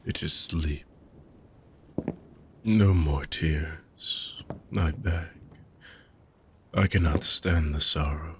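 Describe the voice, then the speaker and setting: A weary ancient dark mage, played by a man speaks in a low, unhurried voice, each phrase drawn out slowly. He often sinks into hushed whispers. A faint hiss sits under the fantasy roleplay audio. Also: steady tone, exaggerated voice. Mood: soothing, hushed. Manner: slow, measured, drifting into hushed whispers.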